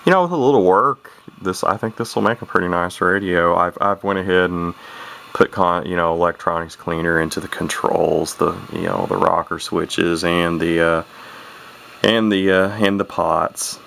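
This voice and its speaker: A man casually talking through a radio repair at his workbench, rambling and halting, with many restarts and folksy turns of phrase. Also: deep voice, vocal fry, a bit bright, a little hiss or hum. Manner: plain-spoken folksy grammar. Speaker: radio hobbyist showing a repair. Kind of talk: casual off-the-cuff repair commentary.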